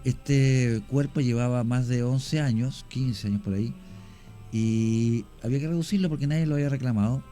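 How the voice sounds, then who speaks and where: A man with a Chilean accent, a guest on a radio talk show, telling stories in a measured way with many hesitations. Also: medium pitch, dark tone, faint music behind. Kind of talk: radio interview storytelling.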